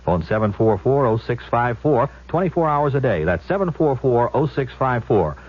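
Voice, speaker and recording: A man's voice: medium pitch, expressive, normal speed, slightly rough. A man reading a scripted advertisement as a 1970s radio announcer. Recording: dull old radio broadcast recording.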